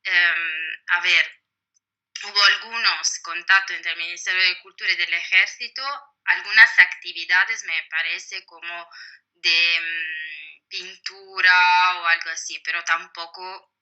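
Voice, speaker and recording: A woman's voice: on the low side, fairly even intonation, unhurried, bright, forceful tone, muffled recording. A woman speaking with an Italian accent, a native Italian speaker answering interview questions as an author, thoughtful and full of hesitations. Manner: thoughtful, many hesitations and fillers.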